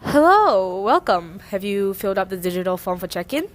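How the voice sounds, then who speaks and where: Woman acting as male maniac host, radio drama, insistent, creepily courteous, obsessive, medium pitch. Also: sing-song, noisy background, close to an everyday voice. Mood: eerily polite, insistent. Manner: insistent, deliberate, menacing politeness.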